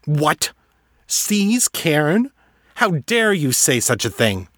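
A man doing an anguished, ranting dark lord. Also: medium pitch, expressive, slow, breathy edge, no room sound, clean studio sound, heavily acted. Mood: furious. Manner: anguished ranting, breaking into sobs. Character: brooding male dark lord, grieving and volatile.

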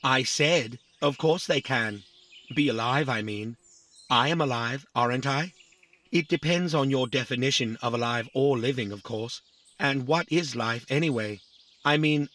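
An actor playing a naive, chatty, easily offended little robot in a children's audio drama, rambling excitably. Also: male voice, mid-range voice, a little slow, lightly acted. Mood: rambling, excited. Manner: rambling, excitable, adds tag questions.

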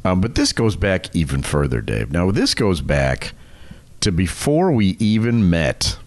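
A middle-aged American man co-hosting a comedy podcast, telling stories casually in a teasing, animated way. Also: low voice, a little slow, no echo. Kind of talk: casual comic storytelling.

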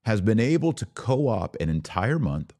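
An American man hosting a political commentary podcast, speaking in a deliberate, emphatic monologue that leans on repeated words for stress. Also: fairly low pitch, sing-song, warm tone. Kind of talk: opinion monologue to camera.

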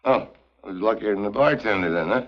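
An actor playing a tough, wisecracking American agent with a wry, slangy manner in an early 1950s TV spy drama. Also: male voice, lowish voice, fast talker, lightly acted. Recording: muffled vintage 1950s TV soundtrack.

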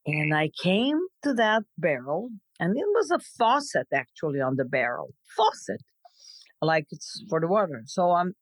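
A mature woman from Croatia with a Croatian accent, telling her story as an interview guest in halting, emphatic phrases. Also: lowish voice, no room sound, poor audio. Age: middle-aged or older. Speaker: podcast guest.

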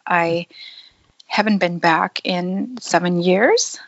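A woman who grew up in Thailand, telling her life story in an unhurried, thoughtful interview, with small laughs. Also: lowish voice, creaky, no room sound, lo-fi sound. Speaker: podcast guest. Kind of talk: conversational interview.

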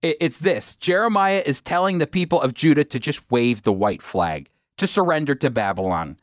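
A man's voice: expressive, normal speed, no room sound, studio quality. A man hosting a radio program and teaching the Bible in an earnest, emphatic way, lifting his voice on key points. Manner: earnest, emphatic, with pitch climbing on key phrases.

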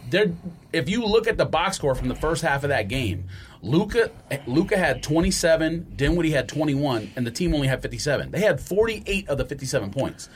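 A man's voice: fairly high pitch, big pitch swings, brisk. A man co-hosting a sports podcast, casually breaking down games in conversation, recorded with some background noise. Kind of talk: casual sports analysis chat.

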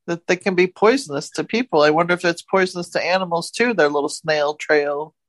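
A woman's voice: lowish voice, a little fast. A woman co-hosting a casual talk show, retelling odd news stories in a conversational way.

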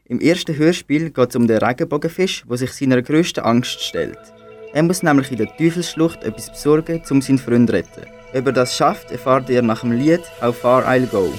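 A man's voice: medium pitch, normal speed, soft background music. A teenage male student presenting a radio show from a script.

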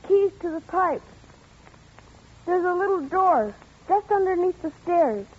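Casting: young boy, extremely high voice, haunted and resentful, for vintage radio thriller, muffled old recording. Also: animated, measured pace. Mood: insistent, secretive. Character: frightened, stubborn young boy, grieving and resentful. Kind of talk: 1940s radio suspense acting.

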